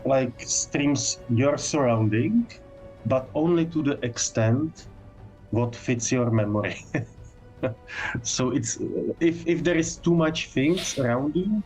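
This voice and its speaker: A man answering technical questions as a game developer on a casual livestream, speaking halting English with non-native phrasing. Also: mid-range voice, expressive, a little mellow, soft background music, low-quality recording.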